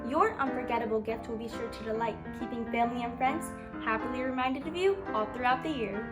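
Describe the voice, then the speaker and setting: Gushing high-voiced woman advertiser. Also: brisk. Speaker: commercial announcer. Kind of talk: old-time radio advert, gushing sales read. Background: instrumental music.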